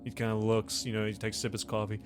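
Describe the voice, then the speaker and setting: A man's voice: on the low side, completely flat delivery, rapid-fire, faint music behind. A man speaking as game master, narrating a tabletop role-playing game in a casual, improvised way.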